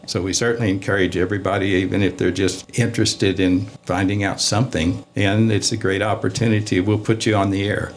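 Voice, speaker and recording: Low, flat, gravelly man. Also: brisk, few pauses. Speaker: amateur radio club guest. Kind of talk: casual interview, giving information.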